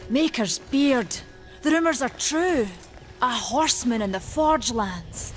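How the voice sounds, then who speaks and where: A woman's voice: fairly high pitch, lively intonation, takes it slowly, faint music behind. A woman playing a hearty, proud giant blacksmith in a fantasy game, with a bold, plain-spoken manner. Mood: hearty, surprised. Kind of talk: dark fantasy game acting.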